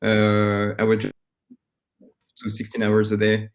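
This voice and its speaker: A man in his thirties from France with a French accent, giving halting, conversational answers as a startup founder being interviewed. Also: on the low side, monotone. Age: 30s.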